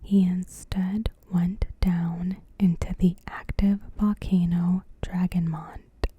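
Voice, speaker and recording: Very slow, breathy whispering ASMR woman. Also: lowish voice, little pitch movement, dry recording. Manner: soft-spoken, unhurried, careful phrasing. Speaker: ASMR creator. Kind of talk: ASMR, scripted episode recap narration.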